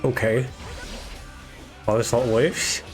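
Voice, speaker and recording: A man's voice: medium pitch, fairly even intonation, slow pace. German guy running the tourney, rambling solo commentary and chatting with chat, faint game music behind. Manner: casual, rambling, chatting with viewers. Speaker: tournament organizer. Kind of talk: solo live esports commentary.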